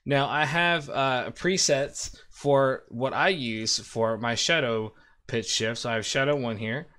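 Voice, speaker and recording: Casual man hosting a software tutorial. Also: medium pitch, a little slow. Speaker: tutorial host. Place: indoor room at a desk.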